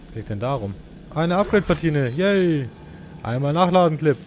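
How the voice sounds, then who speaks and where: A man's voice: a little high, lively intonation, moderate pace, darkish tone, slight background noise. A man giving casual, slangy gaming commentary, playfully griping and talking to the game's characters. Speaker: let's player.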